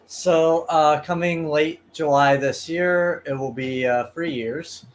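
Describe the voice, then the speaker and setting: A man's voice: a little high, steady tone, slow pace. A man in his early twenties chatting on a podcast, hesitant, full of ums and uhs, a bit self-deprecating. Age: 20s. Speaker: guest cosplayer. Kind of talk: casual podcast chat.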